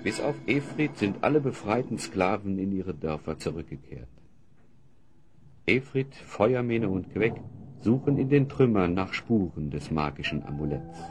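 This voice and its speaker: Deep, very animated storyteller. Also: male voice, unhurried, noisy background. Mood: dramatic. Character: storyteller narrator of a fantasy tale. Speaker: narrator. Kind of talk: dramatic scripted narration for fantasy audio drama.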